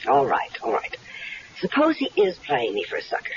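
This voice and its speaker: A woman's voice: a bass voice, wildly swooping pitch, fairly quick, a little hiss or hum, full character voice. An actress playing a scheming, unhappily married woman who coaxes and teases, in a 1970s radio drama. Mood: persuasive. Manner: teasing, coaxing, knowing. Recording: dull lo-fi 1970s radio recording.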